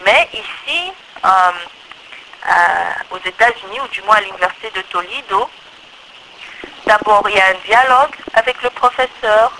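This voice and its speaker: A woman's voice: medium pitch, lively intonation, normal speed, sharp tone, a little hiss or hum, poor audio. A woman in her thirties from the Congo with a Congolese accent, explaining her views in a conversational interview and repeating points for emphasis. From Democratic Republic of the Congo. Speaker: interview guest, electrical engineer.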